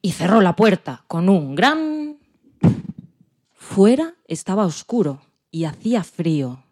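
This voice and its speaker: A woman's voice: medium pitch, big pitch swings, slow pace, a little mellow. A woman with a Spain accent narrating a dramatized children's story expressively. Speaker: podcast presenter and story narrator.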